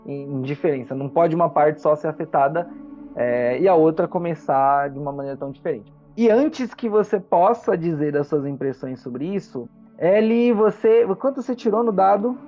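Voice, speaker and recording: A game master improvising narration for a tabletop role-playing game, explaining things in halting phrases. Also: medium pitch, animated, mellow, dull audio, soft background music.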